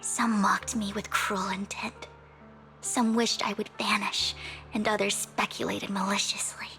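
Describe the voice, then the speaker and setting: A woman voicing a devoted, formal young woman in a video game cutscene, speaking in a hushed, breathy way. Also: medium pitch, little pitch movement, a little slow, soft background music. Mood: whispered confession. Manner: hushed, breathy confiding delivery.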